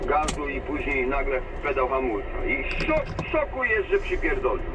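A man's voice: medium pitch, big pitch swings, moderate pace, far from the mic. A man in a profane, lecturing rant among drivers over CB radio. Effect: CB radio transmission. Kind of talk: ranting casual CB radio chat. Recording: narrow, noisy CB radio in a vehicle.

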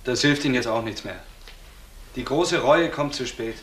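A man plays a stern investigator in a crime radio drama, in a roomy, echoing recording. His medium-pitched voice is pointed and accusing. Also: expressive, normal speed, fairly bright tone, slight background noise, close to an everyday voice. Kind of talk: German crime radio play acting. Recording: roomy, echoing studio sound.

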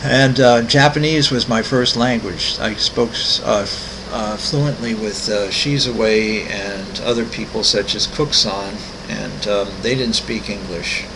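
A man in his sixties, an artist walking through his website, talking casually with many ums, pauses and restarts. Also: fairly low pitch, background noise. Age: 60s. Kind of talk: casual screen-recorded walkthrough.

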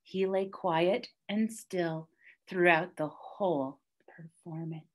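A young woman reading a children's story aloud like a teacher, deliberately and with dramatic emphasis. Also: lowish voice, fairly even intonation. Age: young adult. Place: small classroom, indoors, by a whiteboard.

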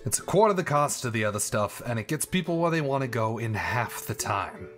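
Man playing a coaxing bootlegger, very animated pitch. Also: fairly quick, soft background music, close to an everyday voice. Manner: coaxing, insistent. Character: persuasive, hustling male bootlegger henchman. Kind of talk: audio drama acting.

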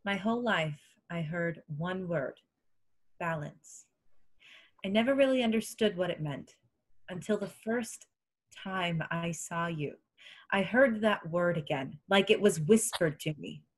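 A woman's voice: fairly low pitch, a little slow. Woman reading as older female general, wise, weary, motherly, film script table read. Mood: tender, earnest. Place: home, video call.

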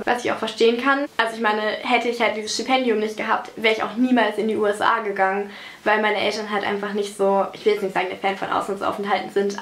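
A woman's voice: mid-range voice, roomy sound. A young woman vlogging from her bedroom, chatting casually and rambling with lots of fillers while she bakes. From Germany. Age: young adult. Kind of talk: casual chat while baking. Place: bedroom at home, indoors.

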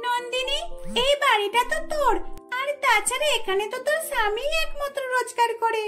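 A woman's voice: very high voice, fairly quick, bright, forceful tone, faint music behind, voice pushed up. A woman voicing a meddling young female friend in a cartoon, coaxing and insistent. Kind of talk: cartoon dubbing.

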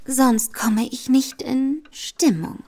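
A woman's voice: high-pitched, takes it slowly, dark tone. An actress playing a sly, polite young woman with a coy manner in an audio drama. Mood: coaxing. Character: coy, sly young woman feigning innocent servant-girl respectability.

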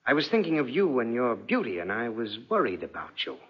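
An actor playing a suspicious aristocratic husband in a 1940s radio drama, with silky, deliberate, insinuating politeness. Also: male voice, mid-range voice, wildly swooping pitch, breathy edge. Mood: veiled menace. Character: suave, suspicious, menacing husband, an aristocratic lord. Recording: dull, muffled 1940s radio recording.

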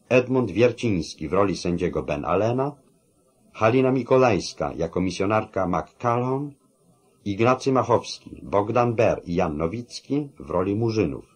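A man's voice: low-pitched, breathy edge. A man working as a radio announcer, formally reading out the credits of a drama.

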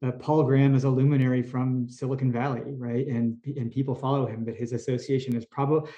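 A man's voice: medium pitch, level tone, quick pace, warm tone. A man speaking as a podcast guest, thoughtful and given to restarting his sentences. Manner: thoughtful, restarts and repeats words often. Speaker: podcast guest, company CEO. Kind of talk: conversational interview answers. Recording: roomy echoey room sound.

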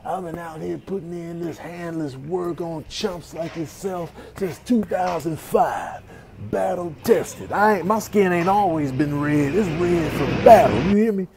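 A man's voice: on the high side, unhurried, vocal fry, music in the background, voice pushed down. A man voicing a battle-hardened rooster in a comedic animal dub, boastful and scolding as he trash-talks. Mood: boastful, defiant. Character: battle-hardened, trash-talking bald rooster street fighter.

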